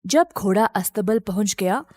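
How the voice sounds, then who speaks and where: A woman's voice: mid-range voice, lively intonation, moderate pace, darkish tone, no room sound, studio quality. A woman narrating a scripted moral story for children as a storyteller.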